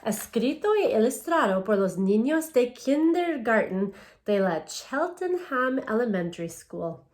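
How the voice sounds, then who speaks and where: A woman teacher reading aloud to young children in a simple, deliberate, encouraging way, often posing questions to them. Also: fairly high pitch, very animated, darkish tone. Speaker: elementary school language teacher. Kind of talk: children's read-aloud lesson.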